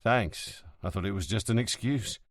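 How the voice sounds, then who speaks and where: A man's voice: lowish voice, moderate pace, no echo, studio quality, close to an everyday voice. A man voicing a tense, evasive police inspector in an audiobook, speaking curtly.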